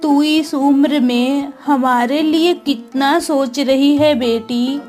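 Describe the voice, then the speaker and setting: A woman's voice: sits high, steady tone, slow, darkish tone, faint music behind. A woman voicing an ailing village mother in a cartoon story, tender, weary and resigned. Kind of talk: acted Hindi moral story cartoon dialogue.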